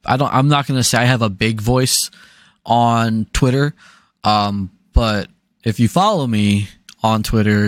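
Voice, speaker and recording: A man co-hosting a podcast in casual chat, blunt, swearing freely and stating his opinions flatly. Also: mid-range voice, dry recording, studio quality. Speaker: podcast co-host.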